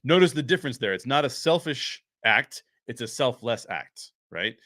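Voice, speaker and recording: A man's voice: medium pitch, lively intonation, moderate pace, pushed and bright, no room sound, clean studio sound. A man giving unscripted film commentary as a show host, explaining his points emphatically.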